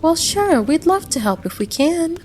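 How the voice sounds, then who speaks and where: A woman playing a caring, motherly American wife in a roleplay audio drama, gentle and reassuring, full of endearments. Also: very high voice, very animated, normal speed, slight background noise. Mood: welcoming, concerned.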